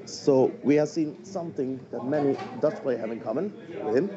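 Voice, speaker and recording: A man's voice: medium pitch, moderate pace. Male darts co-commentator, non-native English, joking and chatty, slightly dull stream sound with crowd behind. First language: not English. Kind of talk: live remote commentary. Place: home, concert noise nearby.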